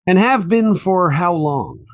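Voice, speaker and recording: Man playing lively, methodical courtroom prosecutor. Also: high-pitched, takes it slowly. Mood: formal, probing. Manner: formal, precise questioning. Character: methodical, probing male prosecuting attorney in 1890s courtroom. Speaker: voice actor reading a trial transcript. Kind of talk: dramatized courtroom transcript reading, examination.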